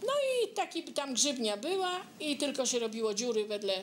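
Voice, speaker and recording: A woman's voice: on the high side, lively intonation, moderate pace, a little hiss or hum. An older woman telling anecdotes conversationally, addressing her listener directly and quoting bits of dialogue. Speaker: local resident sharing memories.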